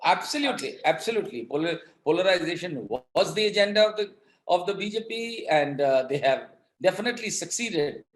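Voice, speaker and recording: A man with an Indian accent giving political commentary in an interview, halting but emphatic, often repeating his words. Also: high-pitched, lively intonation. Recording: echoing, roomy sound.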